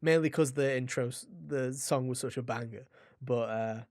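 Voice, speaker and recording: Young male podcast host, opinionated and chatty, mid-pitched, recorded close and dry. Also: normal speed, a little mellow, no echo. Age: young adult. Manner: opinionated, blunt, swears; rambling, anecdotal storytelling. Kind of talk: casual gaming podcast chat.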